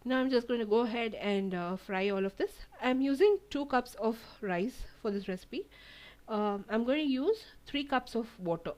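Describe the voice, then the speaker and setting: A woman's voice: on the high side, normal speed. Chatty woman explaining a recipe. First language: Tamil. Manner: relaxed, chatty, many fillers. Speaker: cooking channel host. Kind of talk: home cooking tutorial voice-over. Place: home kitchen.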